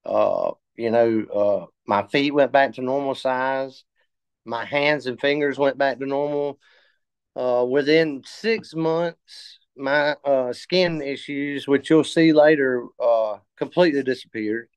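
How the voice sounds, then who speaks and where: A man of fifty telling his story in a casual interview, halting with many fillers and restarts. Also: mid-range voice, clear, resonant tone, no room sound. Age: 50s. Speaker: interview guest.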